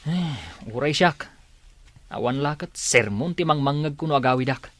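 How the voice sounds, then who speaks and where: A man's voice: fairly high pitch, normal speed, a bit bright, dry recording, natural voice. A man playing a grumbling small-time crook eager for easy money in an old radio drama. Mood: restless, grumbling.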